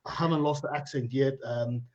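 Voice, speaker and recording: A man's voice: mid-range voice, steady tone, a little fast, dull audio, noisy background. A middle-aged man with a South African accent, guest on a live-streamed interview, talking in a chatty, rambling way. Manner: chatty, rambling, laughs easily. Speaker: guest, cryptocurrency educator. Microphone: video call.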